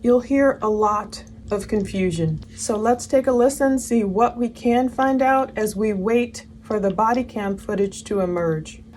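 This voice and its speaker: A woman's voice: medium pitch, measured pace, few pauses, a little mellow. A woman giving opinionated, emphatic true crime commentary, posing pointed questions as she goes. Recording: noticeable background noise.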